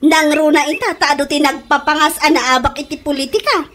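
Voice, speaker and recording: A woman's voice: high voice, fairly even intonation, brisk, a bit bright. An actress playing a teasing, gleefully gloating woman in an Ilocano radio comedy drama. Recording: noisy radio drama recording.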